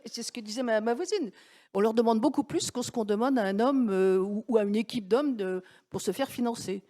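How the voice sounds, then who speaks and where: Higher-pitched woman speaking on a panel. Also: dark tone. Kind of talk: opinion in a live panel discussion.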